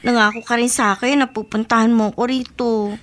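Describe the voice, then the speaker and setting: A woman's voice: a little high, steady tone, fairly quick, a bit bright, lightly acted. An actress playing a young pregnant woman who talks in an earnest, pleading and respectful way. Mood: hurt. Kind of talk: radio drama acting.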